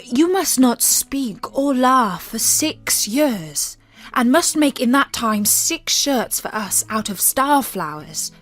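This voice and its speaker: Grave young brothers under a spell, voiced by a woman, speak with solemn emphasis. The storybook delivery is slow, with music behind it. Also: a little high, big pitch swings, a bit bright, pitched above the performer's own voice, full character voice. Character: grave enchanted young brothers warning their little sister. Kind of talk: storybook character voice.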